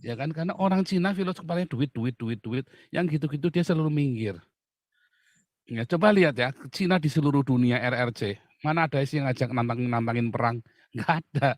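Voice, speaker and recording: A man's voice: mid-range voice, a little fast, no echo, clean studio sound. A middle-aged man from Indonesia, a pastor hosting an outspoken talk, speaking bluntly and emphatically and laughing often. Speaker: pastor and host.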